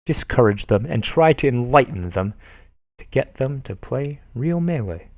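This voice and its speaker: A man hosting a stream, reading forum posts aloud with mock drama and lots of swearing, swinging from loud to soft. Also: medium pitch, no room sound. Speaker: stream host.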